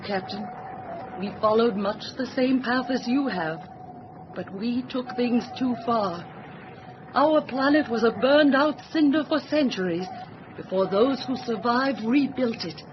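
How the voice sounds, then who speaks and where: Actress doing calm alien lady passing judgement, formal n lecturing, highish voice, dull noisy old radio drama sound. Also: close to an everyday voice.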